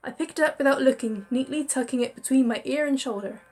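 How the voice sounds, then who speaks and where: A woman reading a visual novel aloud with expressive storytelling and chatty asides. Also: high-pitched, fast, faint music behind. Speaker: game narrator.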